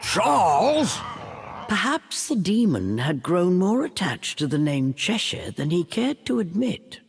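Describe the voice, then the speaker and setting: Unhurried, animated storybook narrator. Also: medium pitch, slightly rough. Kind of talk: scripted storybook narration for a video game.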